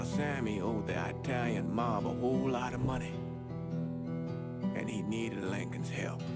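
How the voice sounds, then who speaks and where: A man's voice: medium pitch, natural voice. An actor playing a reflective, sorrowful older man, talking in a folksy way as if interviewed for a documentary. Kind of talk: documentary interview acting in a game cutscene. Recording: music behind the voice.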